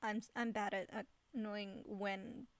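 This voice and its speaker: A woman chatting casually while streaming a game, giggly and halting as she restarts her thoughts. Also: medium pitch, fairly even intonation, gravelly, dry recording. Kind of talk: casual chat while playing a video game. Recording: faint background noise.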